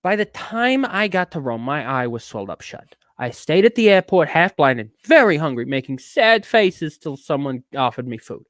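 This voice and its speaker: A man's voice: fairly high pitch, very animated, normal speed, hardly any pauses, fairly bright tone, dry recording, clean studio sound. A man voicing a bitter, neurotic ranter in a theatrical dramatic reading, with a put-on accent that shifts around. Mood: cranky storytelling. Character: edgy, bitter, ranting neurotic man telling a travelogue. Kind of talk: dramatic reading of a rambling rant.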